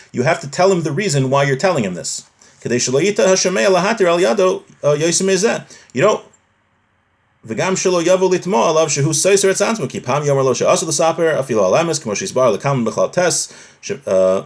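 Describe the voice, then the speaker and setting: Guy teaching a religious text, chatty, reads hebrew bits then explains them, middle pitched voice. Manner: switches between Hebrew quotations and plain explanation. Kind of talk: informal religious lesson, reading and explaining a text.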